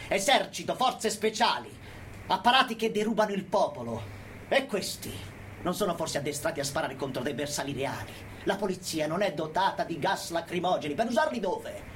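Over audible background noise, a man performs as an unrepentant anarchist militant addressing a court. His voice sits fairly high, and he declaims with stubborn, emphatic conviction in a political radio-theatre monologue. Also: animated, fairly quick, a bit bright. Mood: indignant, insistent.

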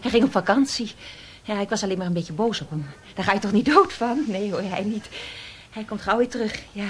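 A woman playing a dazed, fragile woman in denial in a radio drama, halting and repeating herself. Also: medium pitch, lively intonation, slight background noise, natural voice. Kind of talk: naturalistic Dutch radio drama acting.

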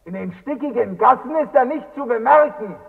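Casting: eerie dead shade. Extremely high, drawn-out calls, thin phone-like sound in long reverb. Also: male voice, animated, vocal fry, heavily acted. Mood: eerie, calling. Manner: drawn-out, declamatory calling. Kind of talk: theatrical radio drama.

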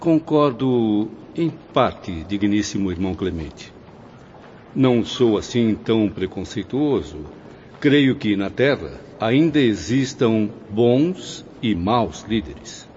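A man's voice: lowish voice, animated, slow pace, a little mellow. An actor playing a noble, learned professor in a radio drama, formal and courteous in his questioning, with a Brazilian accent. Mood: measured, polite. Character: noble learned professor, courteous, inquiring pupil of spiritual masters. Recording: dull lo-fi audio with background noise.

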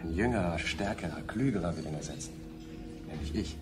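A man's voice: low-pitched, animated, a little fast. A man playing a cold, self-assured younger slave sent as a replacement, speaking matter-of-factly in a science fiction radio drama. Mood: cold, superior. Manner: matter-of-fact, superior. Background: loud music.

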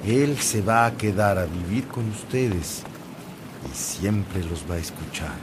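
Un actor de radionovela que interpreta a un sacerdote misionero católico, bondadoso y firme, de hablar solemne y sincero. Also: male voice, low-pitched, expressive, slow, background noise. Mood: warm, grateful. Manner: earnest, solemn, comforting. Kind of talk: radio drama acting.

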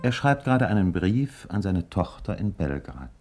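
A man narrating a 1950s German radio play as a contemplative storyteller, measured and literary, pausing between phrases. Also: low-pitched, very animated, a little mellow, faint music behind. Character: measured, contemplative storyteller describing an old harbour town.

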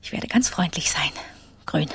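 An actress playing a triumphant, coolly vindictive career woman in a radio drama monologue, measured and savouring each phrase, with a creaky voice. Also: dry recording, whispered, natural voice. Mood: smug, vindictive.

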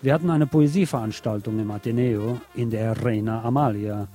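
A man hosting a late-night radio show, delivering a literary scripted monologue in a deliberate, poetic storytelling way. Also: medium pitch, mellow. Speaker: radio host. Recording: music playing under the voice. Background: music.

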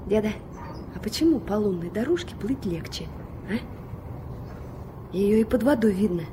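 A woman's voice: mid-range voice, lively intonation, a little slow, dark tone, natural voice. An actress in a Soviet radio drama playing a dreamy, playful young girl, a granddaughter full of wondering, coaxing questions. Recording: noisy 1970s radio play recording.

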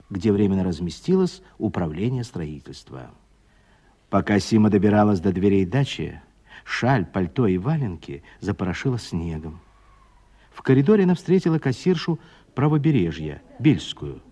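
A man narrating a literary radio play with expressive, scripted storytelling. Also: fairly low pitch, slightly rough.

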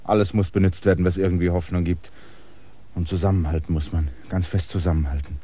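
Proud bitter outsider man in a radio drama, lowish voice, intense and restless. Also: fairly even intonation, a little fast, warm tone. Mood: earnest. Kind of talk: German radio drama acting.

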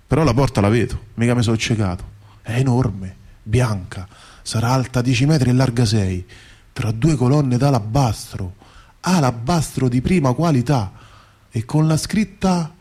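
Actor as an earthy, wonderstruck bricklayer. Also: male voice, mid-range voice, lively intonation, a little slow, a little mellow, husky. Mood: gruff, puzzled. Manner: colloquial, salty, wonderstruck, repeats phrases emphatically. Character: humble, earthy bricklayer recounting his dream of heaven. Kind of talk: theatrical radio monologue, colloquial storytelling.

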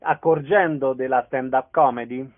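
A man's voice: mid-range voice, steady tone, normal speed, narrow, tinny audio. A man working as an interviewer, asking questions in a casual style. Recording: thin telephone-band radio sound.

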